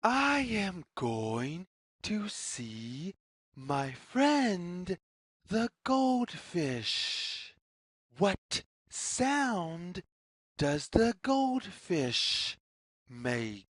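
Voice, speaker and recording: Very slow, singsong woman reading to children. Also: fairly low pitch, sing-song, husky, no room sound, studio quality. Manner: deliberate, singsong, repetitive phrasing with questions to the listener. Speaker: children's read-along storyteller. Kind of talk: read-aloud picture book for young children.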